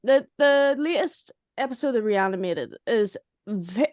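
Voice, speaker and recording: A woman chatting casually and humorously about making audio dramas, in a chatty, animated way. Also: fairly high pitch, a little slow, no echo, clean studio sound. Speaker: audio drama writer and voice actor. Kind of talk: casual chat.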